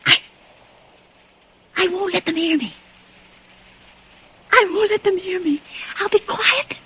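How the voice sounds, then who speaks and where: A woman's voice: very high-pitched, slow, bright, forceful tone, exaggerated voice. An actress playing a nervous, demanding invalid woman in a 1940s radio play, stammering and tearful. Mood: panicked. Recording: dull lo-fi 1940s radio broadcast.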